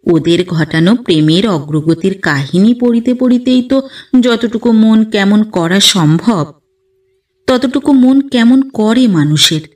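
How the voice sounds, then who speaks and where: A woman narrating a literary story as an audiobook reader, in an expressive, dramatic manner with rhetorical questioning. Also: mid-range voice, recorded from a distance. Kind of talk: literary story narration in formal Bengali prose.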